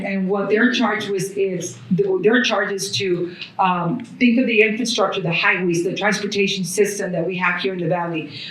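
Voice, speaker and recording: Panelist, woman, earnest civic speaker, booming hall sound, distant from the mic. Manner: earnest, civic, lists places and agencies. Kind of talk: panel discussion talk. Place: hotel event room at a brunch. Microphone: handheld mic passed along the panel.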